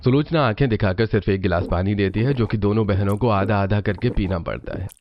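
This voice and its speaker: A man narrating a scripted family tale as a storyteller, with expressive delivery. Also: on the low side, fairly quick, muffled recording.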